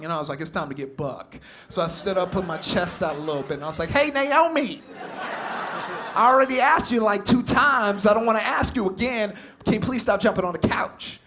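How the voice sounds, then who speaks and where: A young man from California doing a stand-up comedy set on stage, animated, laughing through his punchlines and acting out shouted quotes. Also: high-pitched, slight background noise. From Compton, California. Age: young adult. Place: comedy club stage with a live audience.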